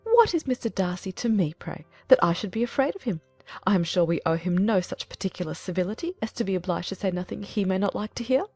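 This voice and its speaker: A woman as a husky, brash, indignant mother. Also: mid-range voice, expressive, quick pace, darkish tone, no echo, faint music behind. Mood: indignant, defiant. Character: brash, indignant middle-aged mother, defiant and tactless. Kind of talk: dramatized audiobook acting.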